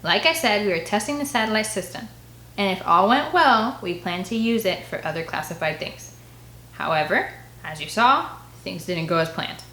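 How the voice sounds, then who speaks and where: A woman voicing a stern, authoritative dad in an audiobook, speaking in a measured, firm way. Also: mid-range voice, expressive, pushed and bright, roomy sound. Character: stern, authoritative father, government man.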